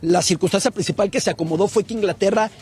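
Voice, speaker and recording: A man's voice: high-pitched, quick pace, a little mellow, breathy edge. A man with a Mexican accent giving casual opinions on football as a panelist. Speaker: sports show panelist.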